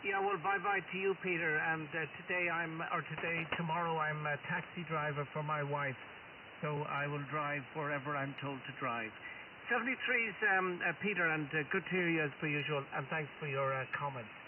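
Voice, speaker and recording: Higher-voiced man on narrowband, hissy radio. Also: recorded from a distance. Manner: polite, halting. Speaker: amateur radio operator. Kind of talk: casual radio chat. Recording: thin narrowband shortwave radio with loud hiss. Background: radio hiss.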